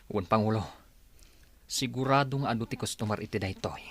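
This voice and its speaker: A man's voice: fairly low pitch, fairly quick, a bit bright. A man with an Ilocano accent acting as a cold, obedient father loyal to his leader in a radio drama, speaking firmly and dutifully. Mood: cold resolve.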